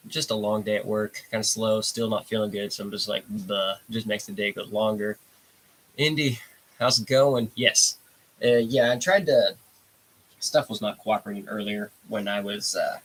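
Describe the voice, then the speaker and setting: A man with a blocked, stuffy nose hosts a casual live stream, chatting in a rambling, unhurried way. His voice sits a bit low. A faint hiss runs under the recording. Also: a bit bright, low-quality recording. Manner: laid-back, rambling, reads out chat comments. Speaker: livestream host.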